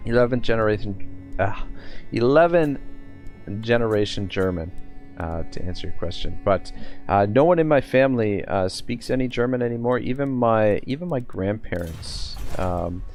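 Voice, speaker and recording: Low-voiced man streaming a game. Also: expressive, moderate pace, darkish tone, soft background music. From Nova Scotia, Canada. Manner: casual, swears often, thinks aloud with many fillers. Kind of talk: live gameplay commentary.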